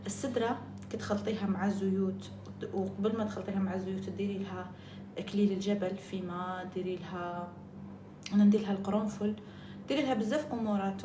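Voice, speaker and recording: A woman's voice: medium pitch, echoey room, background noise. A woman with an Algerian accent chatting casually on a live stream, jumping between topics and mixing in French and English words.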